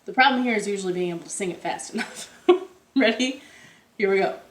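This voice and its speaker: A woman hosting a storytime for young children, reading aloud expressively with drawn-out phrases and questions to her listeners, recorded indoors at home. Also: medium pitch. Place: indoors, in a living room. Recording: roomy, echoey home room sound.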